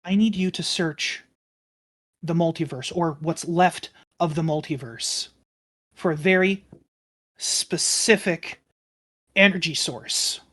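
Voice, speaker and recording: A woman playing an earnest young man, a solemn hero in a tabletop game, speaking in deliberate phrases. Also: fairly low pitch, a bit bright. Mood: urgent, solemn.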